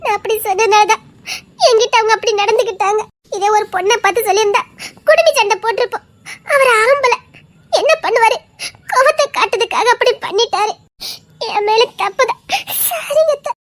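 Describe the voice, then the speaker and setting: An actress playing a remorseful young daughter who pleads for forgiveness through sobs. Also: female voice, very high-pitched, fairly even intonation, fast talker. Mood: tearful pleading. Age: young adult. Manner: sobbing, pleading. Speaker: daughter apologizing to her neighbour.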